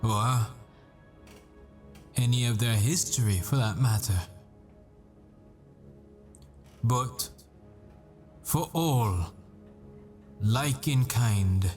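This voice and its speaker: A man acting a halting, desperate boyfriend over music. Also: medium pitch, a little mellow. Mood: solemn, wistful. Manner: halting, stammering, repeats himself. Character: desperate boyfriend, dazed math professor and assassin. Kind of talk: dramatic audio roleplay acting.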